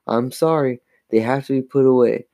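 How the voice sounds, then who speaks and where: A man's voice: medium pitch, expressive, normal speed, creaky, mellow, dry recording, studio quality, voice pushed up. A man voicing a stern, exasperated mother in a children's horror story, scolding with emphasis. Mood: firm, weary.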